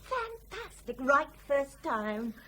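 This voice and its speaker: An actress playing a sardonic, nagging wife of an airline pilot in a radio drama, with a biting manner. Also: female voice, high-pitched, big pitch swings, slow pace, sharp tone, a little hiss or hum, heavily acted. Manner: biting, sarcastic.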